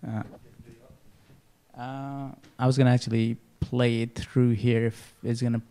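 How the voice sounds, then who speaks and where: A man from Nepal pitches for a non-profit in an informal, hesitant manner. His voice is smooth and clear, at a medium pitch. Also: little pitch movement, a little slow, warm tone. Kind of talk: informal spoken presentation.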